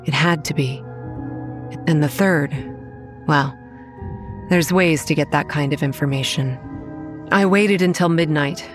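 An actress playing a sarcastic, determined young woman who tells her own story in a horror audio drama. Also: deep voice. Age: young adult. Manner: wry, first-person storytelling.

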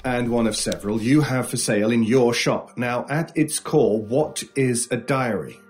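A man's voice: medium pitch, a little mellow, husky, soft background music, lightly acted. Man acting as enigmatic, commanding male stranger. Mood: lecturing. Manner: measured, probing, authoritative. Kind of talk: horror audio drama acting.

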